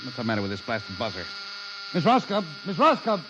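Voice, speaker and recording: A gruff impatient boss. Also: male voice, mid-range voice, wildly swooping pitch, fairly quick, background noise. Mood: irritated. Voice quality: brusque, dismissive, blustering. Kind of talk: 1950s radio drama acting. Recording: dull old 1950s radio broadcast.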